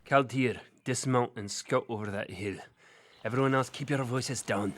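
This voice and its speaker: An actor playing a stern army general in a fantasy audio drama, formal, authoritative and impatient. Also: male voice, medium pitch, moderate pace, slight background noise, close to an everyday voice. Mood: tense, commanding. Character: stern, commanding, exasperated male army general.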